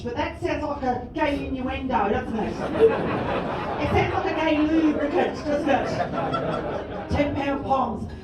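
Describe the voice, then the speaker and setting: A woman's voice: high-pitched, heavy background noise. A woman from Birmingham, raised in Australia, with a Brummie accent, doing a bawdy stand-up set. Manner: bawdy, chatty with the crowd. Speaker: stand-up comedian. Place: live comedy club. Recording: echoey room, distant mic, loud crowd.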